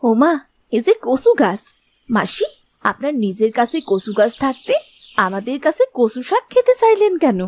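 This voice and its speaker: A woman's voice: a little high, very animated, normal speed, darkish tone, no room sound. A woman playing a caring, dutiful young village housewife in a cartoon fairy tale, respectful and attentive. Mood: astonished. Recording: faint music behind.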